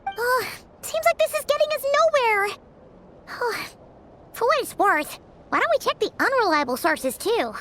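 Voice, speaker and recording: A woman voicing a tiny, childlike fairy companion in a video game, squeaky, cutesy, excitable and skeptical. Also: moderate pace, slight background noise, heavily acted. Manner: cutesy, speaks of herself in third person. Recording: music clearly behind the voice.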